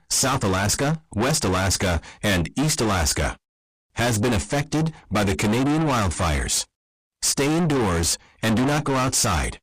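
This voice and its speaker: A husky-voiced man reading scripted emergency alert announcements. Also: mid-range voice, no echo, rough recording.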